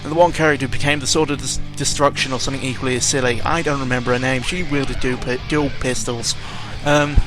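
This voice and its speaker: A man's voice: mid-range voice, fairly even intonation, quick pace, hardly any pauses. A young man ranting casually about video games, exasperated and full of fillers. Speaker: gaming vlogger. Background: video game music.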